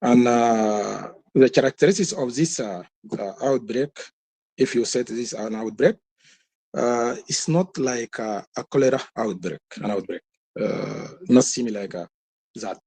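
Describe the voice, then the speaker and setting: A man with a Sudanese accent, a health worker speaking on a webinar, giving a halting, repetitive report that leads into questions. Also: medium pitch, darkish tone, husky. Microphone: webinar call.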